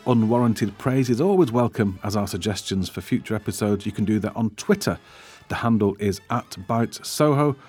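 A man's voice: fairly low pitch, warm tone, slightly rough. A male podcast host chatting wryly about a film, then reading the show's closing credits. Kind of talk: casual film chat and scripted outro.

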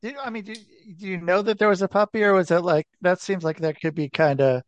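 A man's voice: high voice, quick pace, no room sound, lo-fi sound. A man hosting a podcast, reacting with skeptical, swearing back-and-forth. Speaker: podcast host. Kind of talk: casual chat.

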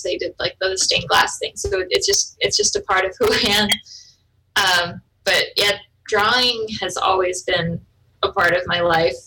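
Casting: young woman, German first language, bright tone, off-mic, chatty and giggly. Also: mid-range voice, distant mic, poor audio. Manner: chatty, laughs often, trails off and restarts. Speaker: author and artist, interview guest. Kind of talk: casual interview conversation.

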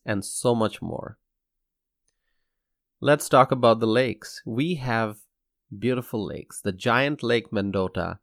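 A young man from Bangladesh hosting his own podcast, speaking in an earnest, measured scripted monologue. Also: fairly low pitch, vocal fry, no room sound, clean studio sound. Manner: measured, earnest, slow deliberate delivery. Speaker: podcast host.

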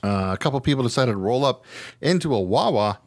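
A man's voice: mid-range voice, expressive, fairly quick, no echo. A man hosting a podcast, chatting casually about odd news in a slangy, joking, profane way. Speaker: podcast host. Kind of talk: casual news chat with a co-host.

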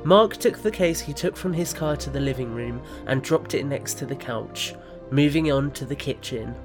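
A man narrates an audio drama from a script. His voice has a medium pitch and music is clearly heard behind it. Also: normal speed.

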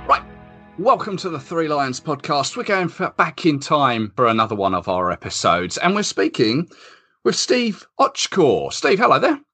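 A man's voice: a little high, sing-song, normal speed, bright, forceful tone, faint music behind. A man hosting a podcast, asking questions in a friendly, conversational way. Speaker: podcast host. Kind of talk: podcast interview.

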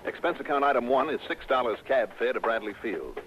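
An American man playing a brisk, wry, money-minded insurance investigator in a 1960s radio detective drama. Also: mid-range voice, lively intonation, close to an everyday voice. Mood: brisk narration. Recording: dull vintage radio recording with loud music.

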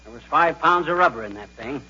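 An American actor playing a bumbling, blustering, folksy middle-aged husband in a 1940s radio comedy. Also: male voice, fairly high pitch, lively intonation, brisk, lightly acted. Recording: dull, noisy 1940s radio recording.

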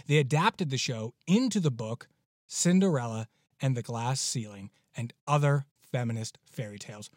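A man's voice: medium pitch, lively intonation, moderate pace, a little mellow, no room sound, clean studio sound. A man hosting a podcast, giving an enthusiastic introduction in an effusive, emphatic way. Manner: effusive, emphatic with repeated words. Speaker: podcast host.

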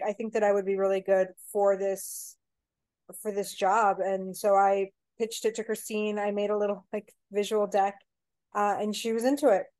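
A woman's voice: medium pitch, no echo. Chatty Mexican-born woman director. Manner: chatty, rambling with many "you know" fillers. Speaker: theater director, guest. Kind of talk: casual interview chat.

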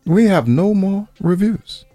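A man's voice: sits high, animated, a little slow, warm tone, no room sound, soft background music. A man co-hosting a podcast in laid-back, joking, slangy casual chat. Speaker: podcast co-host.

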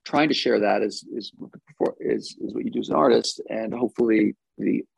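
A man from France talking casually as an interview guest, halting and thoughtful and often chuckling. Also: on the low side, creaky, a little mellow, breathy edge, no echo, poor audio. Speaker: interview guest, singer-songwriter and actor.

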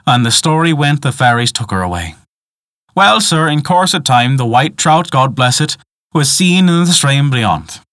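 A man's voice: mid-range voice, expressive, normal speed, a bit bright, no room sound, natural voice. Guy doing an old peasant granny, folksy and superstitious storybook guide, rambling warnings in dialect, dry sound. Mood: reverent storytelling.

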